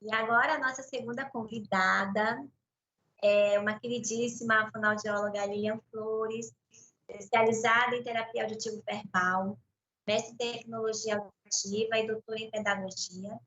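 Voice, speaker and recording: A woman's voice: on the high side, steady tone, moderate pace, lo-fi sound. A woman hosting a virtual panel, introducing a guest and giving a spoken audio description of her on a video call. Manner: warm, describes the guest aloud for blind viewers. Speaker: event host.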